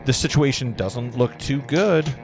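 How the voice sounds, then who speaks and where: A man's voice: medium pitch, measured pace. A man reading stage directions as narrator at a script table read, stumbling over words now and then. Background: clear guitar music.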